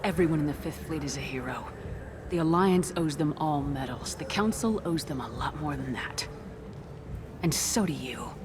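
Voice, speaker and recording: An actress playing a tough, resolute space commander in a video game, firm and terse. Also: female voice, low voice, expressive, normal speed, noisy background. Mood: defiant, solemn.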